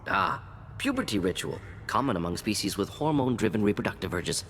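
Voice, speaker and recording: Alien scientist, fairly low pitch, clipped, telegraphic, clinical, curious, game acting. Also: male voice, expressive, brisk, fairly bright tone, a little hiss or hum. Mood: clinical interest. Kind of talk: video game character acting.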